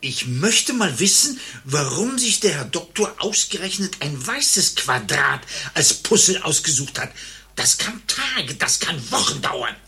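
Satirical radio play: indignant pedant, sharp and husky, emphatic. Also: male voice, on the high side, sing-song, unhurried, heavily acted. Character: indignant, impatient male patient, pedantic explainer.

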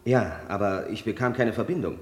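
A man's voice: on the low side, brisk, close to an everyday voice. An actor playing a defensive, earnest accused businessman testifying in an old German radio crime drama. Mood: defensive, protesting. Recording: vintage radio play, echoey courtroom hall.

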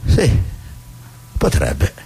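An actor playing a sly, evasive official in a radio drama, condescending and teasing, with wry tag questions. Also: male voice, very slow, dark tone.

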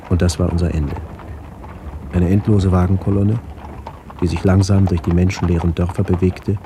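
A middle-aged man reading a literary memoir for radio in a measured, sober way, with a gravelly voice. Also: low voice, background noise. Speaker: author-narrator of a memoir radio play. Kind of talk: scripted literary narration, reminiscence.

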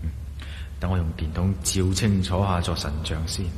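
A man's voice: sits low, flat delivery, normal speed, close to an everyday voice. A man with a Hong Kong Cantonese accent playing a wary, curious adventurer in a radio drama, theatrically thinking aloud to himself. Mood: intrigued, amazed. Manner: theatrical, exclaiming and musing to himself. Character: wary, curious male adventurer exploring a dark ancient temple. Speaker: radio drama lead actor. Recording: echoey long reverb, clearly noisy.

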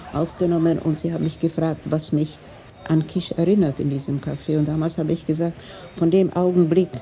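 Gravelly, very dark-voiced elderly woman. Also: deep voice, poor audio. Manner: wry, emphatic storytelling with rhetorical tags. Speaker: interviewee, writer sharing recollections. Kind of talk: spontaneous anecdotal recollection.

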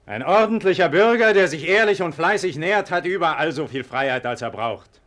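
An actor playing a commanding but gracious nobleman in a theatrical 1940s radio play, measured and authoritative. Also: fairly high pitch, animated, a bit bright. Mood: gracious, admonishing. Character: commanding, gracious nobleman calming his citizens.

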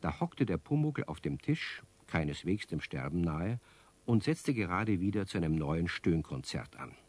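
A wry storyteller narrates a children's radio play. His voice is deep and husky, with expressive swings in pitch. The delivery is scripted storytelling. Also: normal speed, full character voice.

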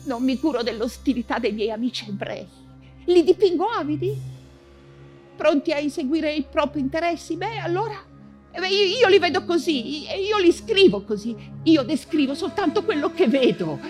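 An actress playing an anguished, proud woman writer in wartime Paris, in a theatrical monologue with halting, insistent repetitions. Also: high voice, animated, darkish tone, faint music behind. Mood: proud, defiant.

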